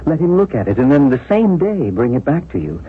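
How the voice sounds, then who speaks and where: An actor playing a polite, earnest nephew in a 1970s radio drama, speaking and narrating in a courteous, measured way. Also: male voice, mid-range voice, sing-song, natural voice. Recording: dull, faintly hissy 1970s radio recording.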